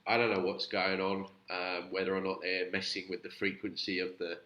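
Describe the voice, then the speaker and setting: A man with a cold gives loose, rambling commentary full of fillers, his voice audibly nasal. His tone is bright and forceful over a low pitch. The room adds a noticeable echo. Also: flat delivery, fairly quick, lo-fi sound. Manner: chatty, rambling, with many fillers. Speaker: YouTube channel host reviewing videos. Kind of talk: casual vlog commentary.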